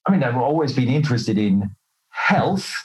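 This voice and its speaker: A man's voice: medium pitch, very animated, moderate pace, low-quality recording. Self-deprecating middle-aged man from Switzerland. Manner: self-deprecating, colloquial, candid. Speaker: interview guest, health professional. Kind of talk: conversational personal storytelling.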